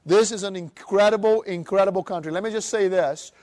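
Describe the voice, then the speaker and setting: A middle-aged man from Brazil with a Brazilian Portuguese accent, giving an animated seminar talk and acting out other people's voices. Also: high voice, a little fast. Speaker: motivational speaker. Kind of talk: live seminar presentation.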